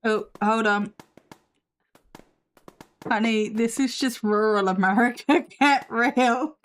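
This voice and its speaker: A woman's voice: a little high, slow. A woman hosting a live stream, reacting with sassy, skeptical commentary while losing her voice. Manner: sassy, skeptical, swears casually. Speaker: livestream host reacting to videos.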